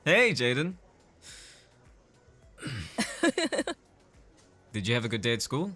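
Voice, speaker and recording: An easygoing, affectionate dad in an audio drama. He is chipper and teasing, with very animated inflection over faint music. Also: medium pitch, slow, breathy edge, heavily acted. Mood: warm, playful.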